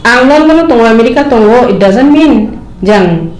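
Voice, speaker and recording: A woman's voice: a little high, lively intonation, brisk, a little mellow. A woman answering interview questions earnestly, slipping English phrases into her talk. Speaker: interview guest. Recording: roomy echo.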